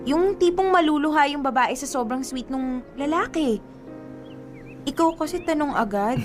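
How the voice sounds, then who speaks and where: A woman's voice: high voice, animated, moderate pace, faint music behind. A woman playing a sweet, playful, superstitious young girlfriend in a Tagalog radio drama, with a Filipino accent. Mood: teasing surprise.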